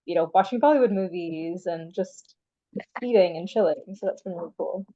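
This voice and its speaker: A woman's voice: on the low side, normal speed, warm tone, lo-fi sound. A young woman from Canada, the guest in a casual interview, talking in a chatty way with many "like" fillers. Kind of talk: casual conversation.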